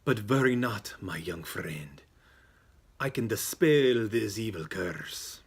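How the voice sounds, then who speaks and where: A man voicing an ominous, slyly grasping male psychic who talks slowly and portentously in a tabletop game story, with music behind him. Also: lowish voice, expressive, creaky, husky, heavily acted. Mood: grave, portentous. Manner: slow, dramatic, portentous delivery.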